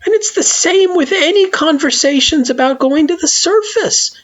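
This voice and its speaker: A nervous, earnest young child in a fan story reading, voice lifted high and stammering as it pleads. The sound is dull and lo-fi. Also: female voice, lively intonation, normal speed. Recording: dull lo-fi recording.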